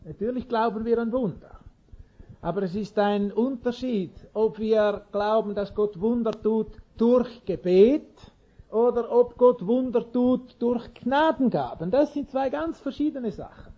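A man's voice: extremely high pitch, a little slow, lo-fi sound. A man preaching an informal sermon in an earnest way, chuckling now and then and restarting sentences.

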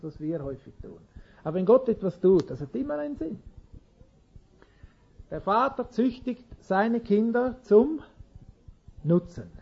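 A man's voice: high-pitched, darkish tone, slightly rough, highs cut off. A man preaching an informal sermon in an earnest way, chuckling now and then and restarting sentences.

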